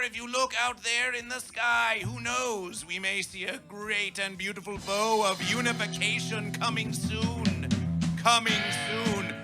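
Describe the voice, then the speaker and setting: A man emceeing at a music festival, making grand sing-song proclamations to the crowd over a stage microphone. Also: very high-pitched. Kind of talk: theatrical stage announcing.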